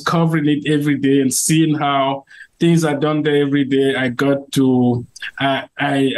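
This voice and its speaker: Cameroonian man, slightly high voice. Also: poor audio. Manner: earnest, with frequent fillers and restarts. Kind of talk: interview answers.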